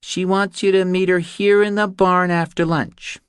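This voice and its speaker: A man's voice: high-pitched, little pitch movement, a little slow, clean tone, no room sound, clean studio sound, voice pushed up. A man voicing a little girl in an audiobook, carefully reciting a message from memory. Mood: earnest, concentrating.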